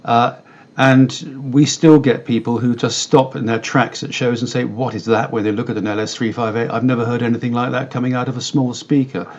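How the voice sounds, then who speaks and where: A British man giving measured, articulate explanations as an expert guest in a conversational interview. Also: medium pitch, little pitch movement, dull audio. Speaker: company director interviewed as guest.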